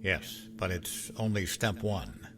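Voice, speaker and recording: An actor playing a careful, clinical starship doctor in an audio drama, precise and explanatory. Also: male voice, deep voice, slow pace, over loud music. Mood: clinical, grave.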